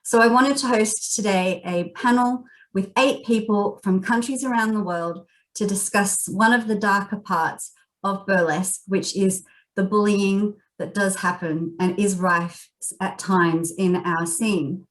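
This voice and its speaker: A woman's voice: mid-range voice, fairly even intonation, a little slow, distant mic, low-quality recording. A woman from Australia with an Australian accent, hosting an online panel in an earnest, heartfelt way. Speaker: panel host. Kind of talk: video panel introduction.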